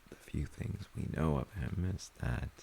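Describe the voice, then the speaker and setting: A man playing a gentle, thoughtful, reassuring dad in a soft-spoken comfort roleplay, hesitant and reflective, with a husky, gravelly voice. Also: very deep voice, slight background noise, full character voice. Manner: hesitant, reflective, pausing mid-sentence. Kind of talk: soft-spoken sleep-aid audio roleplay.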